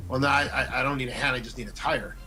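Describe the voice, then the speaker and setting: A man, a radio personality, telling animated, profane stories in a casual chat. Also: mid-range voice, very fast, a little hiss or hum, poor audio.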